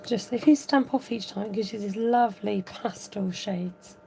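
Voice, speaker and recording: A woman hosting a live card-making tutorial, thinking aloud as she works, chatting with viewers and reading out their comments. Her voice is a little croaky. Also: medium pitch, moderate pace, dark tone, slight background noise, low-quality recording. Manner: rambling, thinks aloud while working. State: slightly croaky voice. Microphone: overhead camera above a craft table, voice from off-frame.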